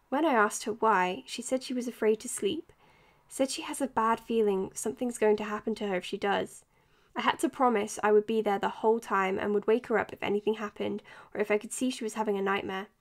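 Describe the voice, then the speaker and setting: A woman's voice: on the high side, close to an everyday voice. An actress playing a caring, tired woman doctor in a naturalistic audio drama, speaking earnestly and hesitantly. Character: anxious, caring, tired young female doctor. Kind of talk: naturalistic audio drama, confessional podcast narration.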